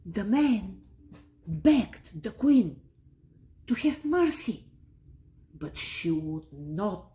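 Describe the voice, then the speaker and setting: A woman reading a children's bedtime story aloud expressively, with dramatic pauses and breathy drops. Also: medium pitch, very animated, warm tone.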